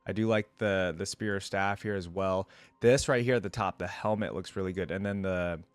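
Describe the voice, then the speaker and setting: A man's voice: low-pitched, steady tone, moderate pace, clean tone, no echo. A man giving informal, rambling and opinionated commentary in a casual reaction video. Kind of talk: casual reaction commentary, partly reading aloud.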